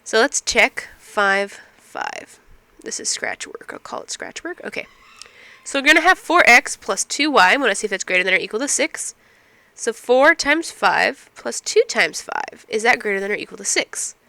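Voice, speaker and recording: A woman's voice: fairly high pitch, expressive, moderate pace, gravelly, bright, forceful tone, dry recording. A woman instructor explaining math step by step, asking and answering her own questions, while getting over a cold. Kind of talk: recorded math lecture.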